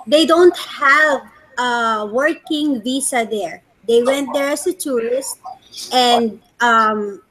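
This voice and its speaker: A woman's voice: fairly high pitch, takes it slowly, fairly bright tone, rough recording. A woman from the Philippines with a Filipino accent, explaining her view in a casual online debate and repeating phrases for emphasis. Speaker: discussion moderator. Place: online group voice call.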